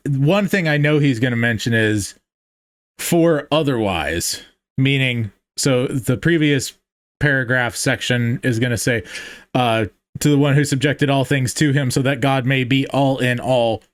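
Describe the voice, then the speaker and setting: A man's voice: medium pitch, unhurried, dry recording. Man thinking aloud on a dry mic. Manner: thinks aloud with many fillers and restarts. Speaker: podcast host. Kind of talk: casual theological discussion.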